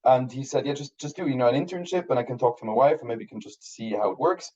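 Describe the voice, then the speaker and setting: A man's voice: medium pitch, animated, quick pace, warm tone, lo-fi sound. A Swiss man in his thirties whose first language is German, chatting casually in an interview with many restarts and fillers. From Switzerland. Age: 30s. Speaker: guest filmmaker.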